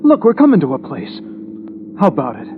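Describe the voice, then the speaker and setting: An actor playing a meek, frightened, exhausted captive who pleads, in 1940s radio drama style. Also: male voice, on the high side, huge pitch swings, fairly quick. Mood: pleading. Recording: tinny vintage radio, heavy noise.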